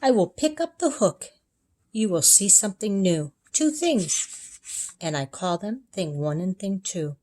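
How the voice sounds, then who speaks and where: A woman's voice: mid-range voice, expressive, measured pace, mellow. A woman voicing a confident, mischievous cat showman, showy and reassuring, in a children's storybook read-aloud. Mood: playful showmanship.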